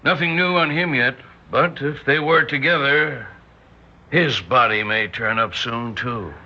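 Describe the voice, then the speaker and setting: A man's voice: medium pitch, unhurried, muffled recording, noisy background, close to an everyday voice. An actor playing a blunt, businesslike male officer in an old radio drama. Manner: blunt, matter-of-fact. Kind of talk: 1960s radio drama acting.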